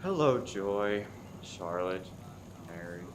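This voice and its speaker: A man's voice: low voice, lively intonation, slow pace, slight background noise. A man playing an anxious, gloomy diner regular in a comic radio play. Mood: uneasy.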